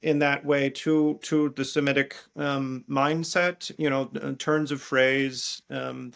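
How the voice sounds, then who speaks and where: A man explaining as a scholarly interview guest, haltingly, thinking aloud and repeating words as he rephrases. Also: mid-range voice, level tone, creaky, fairly bright tone. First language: English. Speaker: guest biblical scholar and priest.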